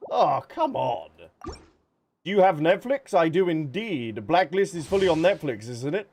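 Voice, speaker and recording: A man's voice: fairly high pitch, big pitch swings, a little slow, a little mellow. A man streaming a game, chatting casually and thinking aloud as he answers viewers. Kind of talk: casual livestream chat while gaming. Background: background music.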